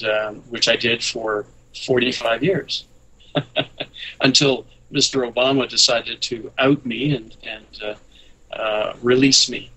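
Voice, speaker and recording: Bright-voiced older man, wry and halting. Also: medium pitch, breathy edge, poor audio. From Scotland. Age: 60s. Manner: wry, jokey asides with hesitant fillers. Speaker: radio guest. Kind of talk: casual interview talk.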